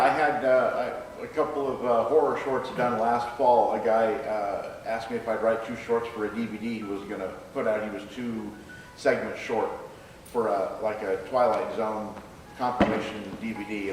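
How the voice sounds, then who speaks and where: A man speaking as a screenwriter in a live question-and-answer session, with a halting, hesitant delivery. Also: on the low side, steady tone. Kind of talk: live Q&A after a staged reading. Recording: echoey room, distant mic, faint noise.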